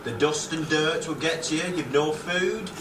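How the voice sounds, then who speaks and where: Guy acting a bossy mine manager in a radio play, highish voice, talks down and threatens, noisy behind him. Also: normal speed, close to an everyday voice. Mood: patronising, coaxing. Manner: patronising, menacing. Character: stern, condescending male mine official turning threatening. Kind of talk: broadcast radio drama acting.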